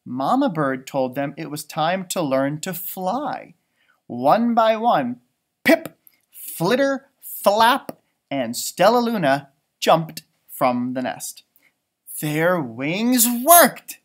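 Male read-aloud storyteller, 30s. Expressive, dramatic, widely swooping pitch for young listeners. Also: slow pace. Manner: expressive, dramatic delivery for children. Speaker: elementary teacher reading aloud. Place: indoor library room with bookshelves.

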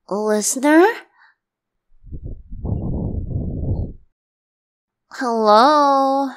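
A woman voicing a playful, clingy boyish god and bard in a boyfriend ASMR roleplay, teasing and theatrical. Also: high voice. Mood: mock pleading.

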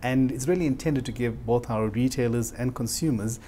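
A man's voice: medium pitch, moderate pace, dark tone. South african man in his 40s, company director interview, medium voice, bit of noise behind. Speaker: regional marketing director. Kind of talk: on-camera interview. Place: office, indoors.

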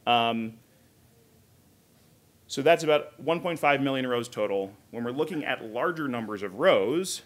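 A man's voice: medium pitch, expressive, measured pace, long pauses. A man in his thirties giving an informal technical conference talk, explaining conversationally with asides from a podium. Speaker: conference speaker, software engineer. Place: hotel conference room, indoors.

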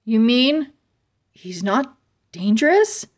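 A woman's voice: on the high side, sing-song, takes it slowly, full character voice. A woman voicing a brave, earnest young boy hero in a children's fantasy story.

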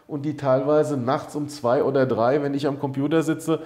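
A man's voice: mid-range voice, fairly even intonation, normal speed, darkish tone. A middle-aged man hosting a casual studio talk show, blunt and emphatic, repeating key points and now and then swearing. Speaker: show host. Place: studio set with brick wall backdrop.

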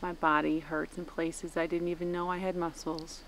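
A woman's voice: fairly low pitch, little pitch movement, moderate pace, a bit bright, slight background noise, poor audio. A woman in her thirties, a first-time canoe camper, chatting upbeat and self-mocking to the camera at a forest campsite. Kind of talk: casual outdoor video diary.

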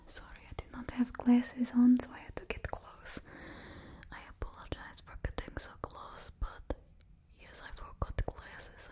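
A young woman playing a doting female supervillain makeup stylist, whispering slowly and gently close to the mic in a put-on Russian accent, as ASMR roleplay. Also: fairly high pitch. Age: young adult. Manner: slow, gentle, fussy and attentive. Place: small indoor studio. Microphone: close mic, face near camera.

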